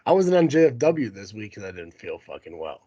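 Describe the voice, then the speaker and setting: A man's voice: lowish voice, very animated, a little fast, warm tone, husky, dry recording, highs cut off. A man hosting a casual podcast while sick with a cold, talking in a self-deprecating, foul-mouthed, grumbling way. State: sick with a cold, runny nose. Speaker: podcast host. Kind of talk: casual chat, ad read at start.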